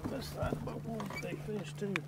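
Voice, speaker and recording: Middle-aged man, folksy fishing vlogger, slightly high voice, recorded outdoors on a boat by a mounted camera. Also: fairly quick. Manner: folksy, excited bursts while landing fish. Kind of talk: casual fishing commentary. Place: small boat on open lake, outdoors. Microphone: wide-angle camera mounted at the boat's stern. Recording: outdoor boat recording with wind and water noise.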